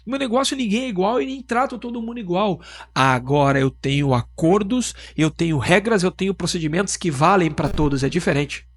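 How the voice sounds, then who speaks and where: Southern Brazilian businessman guest, husky and high-pitched, emphatic podcast talk. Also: fairly quick, hardly any pauses. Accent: Southern Brazilian Portuguese (Rio Grande do Sul). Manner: emphatic, asks rhetorical questions. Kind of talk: casual podcast conversation.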